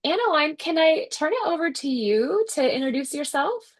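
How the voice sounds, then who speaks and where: A woman moderating a webinar, making brisk, friendly housekeeping announcements before introducing the presenter. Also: sits high, sing-song. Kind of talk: webinar hosting.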